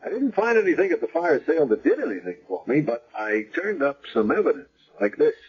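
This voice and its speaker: A man's voice: fairly high pitch, huge pitch swings, brisk, breathy edge, exaggerated voice. An actor playing a matter-of-fact man police detective laying out evidence in a 1970s radio drama. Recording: dull lo-fi vintage radio recording.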